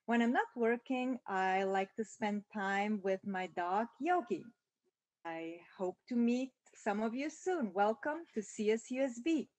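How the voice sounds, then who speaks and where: A woman's voice: on the high side, expressive, a little slow, a little mellow, no room sound. Welcoming woman professor from Switzerland. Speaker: Spanish and linguistics professor. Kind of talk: faculty self-introduction. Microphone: video call webcam.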